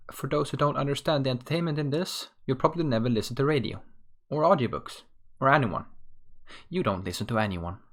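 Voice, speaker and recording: Young man, Swedish accent, mid pitch, offhand self-mocking script-read monologue. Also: a bit bright. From Sweden. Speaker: podcast host. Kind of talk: read-from-script solo rant.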